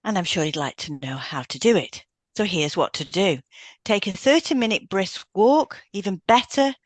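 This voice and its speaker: A woman's voice: medium pitch, animated, normal speed, no room sound. A woman co-hosting a podcast, reading out step-by-step instructions from a script with brief asides. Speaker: podcast co-host, hypnotherapist.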